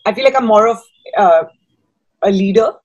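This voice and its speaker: A woman's voice: medium pitch, moderate pace, darkish tone, no echo, muffled recording. A woman in her thirties from Dubai, giving conversational interview answers on a video call from a room at home. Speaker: guest, fashion designer.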